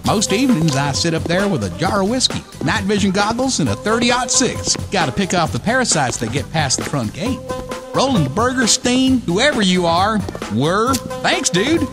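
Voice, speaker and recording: A man's voice: high-pitched, sing-song, moderate pace, over music. An actor playing a cocky, whiskey-drinking American redneck survivor, telling his story in folksy slang in a radio play. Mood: folksy, wry. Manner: folksy, slangy drawling wisecracks. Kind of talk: radio play monologue narration.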